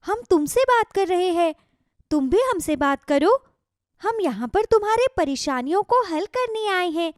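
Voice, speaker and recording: A woman's voice: extremely high pitch, big pitch swings, unhurried, no room sound, studio quality, pitched above the performer's own voice, full character voice. A woman voicing kindly, benevolent magical talking plants that grant wishes and give advice in a children's cartoon story. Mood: helpful. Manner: benevolent, solemnly advising, speaks as "we".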